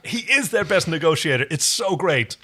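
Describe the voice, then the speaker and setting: A man's voice: on the high side, animated, moderate pace, sharp tone. A man co-hosting a podcast, recapping a TV episode in enthusiastic, casual chat. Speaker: podcast co-host.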